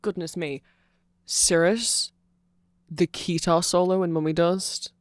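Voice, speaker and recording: A performer voicing a nerdy, awkward, eager vampire friend in a rambling, hesitant ASMR roleplay, halting and self-conscious. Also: medium pitch, fairly even intonation, no room sound, studio quality, natural voice. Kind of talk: rambling ASMR roleplay, explaining fan lore to a listener.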